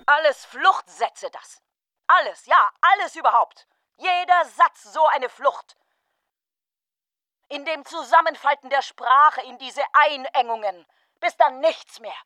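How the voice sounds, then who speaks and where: A woman's voice: high-pitched, slow, sharp tone, no echo, studio quality, heavily acted. An actress playing a grieving woman who questions a death in fragmentary phrases, in a literary radio monologue. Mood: bitter, resigned.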